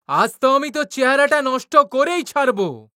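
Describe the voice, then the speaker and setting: A woman voicing a violent male thug who threatens and shouts, with a Bengali accent. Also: high voice, lively intonation, normal speed, no echo, studio quality, voice pushed down. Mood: threatening.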